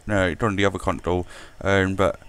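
A British man making a prank phone call, posing as a customer in a halting, deadpan way. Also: low-pitched, clean tone. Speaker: prank caller. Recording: noisy home-recorded prank call.

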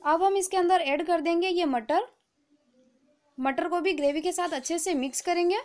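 A woman hosting a cooking channel, giving a calm, patient, step-by-step recipe voice-over. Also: very high voice, moderate pace. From India.

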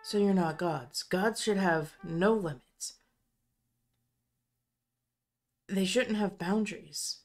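Audio drama heroine, played by a woman: defiant, commanding, mid-pitched, unhurried delivery over music. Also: a little mellow, close to an everyday voice. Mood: incredulous. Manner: commanding, emphatic, clipped. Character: angry, defiant woman hero laying down rules. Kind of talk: amateur fantasy audio drama acting. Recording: music bed under voice.

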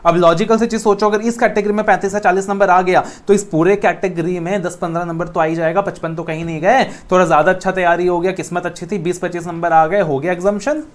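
A man's voice: sits high, fairly even intonation, quick pace, hardly any pauses. A man in his thirties with an Indian accent, giving a recorded classroom lecture on exam law. He talks in a coaxing, motivational way and mixes in English phrases. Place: indoor studio room with a whiteboard screen.

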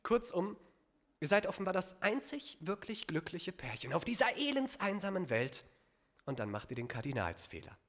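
A man's voice: high-pitched, sing-song, moderate pace, fairly bright tone. A young man performing comedic spoken word on stage at a microphone, with a theatrical delivery and sardonic asides. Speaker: poetry slam performer. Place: theatre stage before a live audience. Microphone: microphone on a stand.

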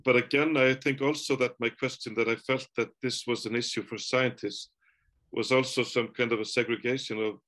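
A man in his forties, a native Icelandic speaker, talking on a video call from a room at home, thoughtful and halting with many restarts. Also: medium pitch, steady tone, fairly bright tone. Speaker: author. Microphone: video call webcam.

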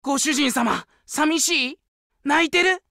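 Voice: high-pitched, takes it slowly, pushed and bright, dry recording, studio quality, exaggerated voice. An actor playing an eager, childlike, fiercely loyal wolf guard dog in an anime game, speaking in simple, exclaimed phrases. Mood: concerned. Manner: simple broken childlike speech, exclaiming, needy for praise. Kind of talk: anime game character voice lines.

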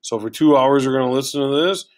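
A man with a low voice teaching a recorded lesson through a shared screen, casual and full of filler words. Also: quick pace.

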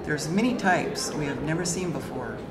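A woman narrating a travel vlog in a calm, admiring scripted voice-over. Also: low voice, moderate pace, heavy background noise. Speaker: travel vlogger. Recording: roomy voice-over under loud music.

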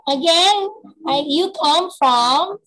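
Young Filipino tutor, high voice, patient and encouraging, headset on a video call. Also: female voice, pushed and bright, poor audio. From Philippines. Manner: patient, slow and encouraging, repeats the child's answers. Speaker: online English tutor. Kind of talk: online lesson with a child.

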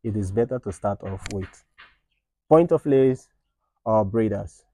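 A man's voice: lowish voice, unhurried, mellow. A man in his thirties giving earnest, informal farming advice to a phone camera under an outdoor shed, often checking in with "right?". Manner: earnest, repeats points with "right?" and "all right". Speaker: snail farmer and channel host. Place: outdoor shed with tin roof at a snail farm. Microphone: phone camera at arm's length.

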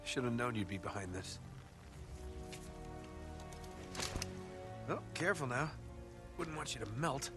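Game hero played by a man: wisecracking American treasure hunter, mid-pitched, offhand and cocky, over a clear score. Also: lively intonation, a little fast, over music, natural voice. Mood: sardonic. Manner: casual, sardonic.

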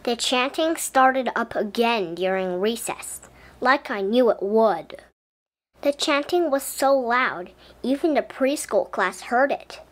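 A woman reading a children's story aloud in an expressive, deliberate storybook style. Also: fairly high pitch. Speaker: children's book reader. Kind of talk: expressive scripted storybook narration as a young boy.

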